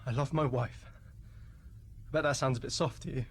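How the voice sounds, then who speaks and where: Meek polite male captive, radio play, played by a man: halting, apologetic, average pitch, faint noisy ambience. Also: steady tone, close to an everyday voice. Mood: self-deprecating. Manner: hesitant, apologetic. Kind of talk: radio play monologue acting.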